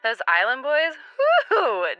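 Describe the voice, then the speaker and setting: A flirty, gushing woman in an audio drama. She draws her words out slowly with big pitch swings, in a slightly dull recording. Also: big pitch swings, clean tone, no room sound, full character voice. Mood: excited, gushing. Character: gushing, flirty female friend back from vacation.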